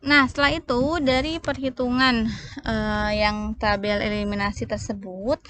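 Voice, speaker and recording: Female tutor, higher-pitched voice, conversational step-by-step teaching, slightly noisy recording. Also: fairly even intonation, measured pace, a bit bright. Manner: conversational, checks in with rhetorical questions. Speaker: accounting tutor. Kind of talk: informal tutorial explanation.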